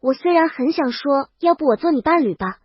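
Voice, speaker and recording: A woman's voice: high-pitched, lively intonation, a little fast, dry recording, muffled recording. A woman reading a scripted first-person comic story as its brash, exasperated heroine. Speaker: audio story narrator.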